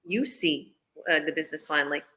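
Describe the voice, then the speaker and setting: A woman's voice: low voice, normal speed, sharp tone. A woman business coach answering interview questions on a live stream, chatty and upbeat, often tagging her points with "right?" and "you know". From Canada.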